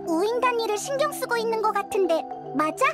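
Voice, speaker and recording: A woman voicing a tiny, childlike, greedy and bossy fairy companion in a video game, squeaky and pouty. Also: expressive, quick pace. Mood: eager. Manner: exclaiming, pouty. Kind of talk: animated video game voice acting. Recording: music behind the voice.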